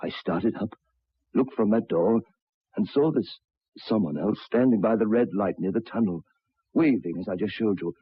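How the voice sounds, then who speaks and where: An actor playing a troubled, educated railway signalman in an old radio drama, speaking haltingly and repeating his words. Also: fairly low pitch, husky, dry recording. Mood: haunted. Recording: tinny, band-limited old radio recording.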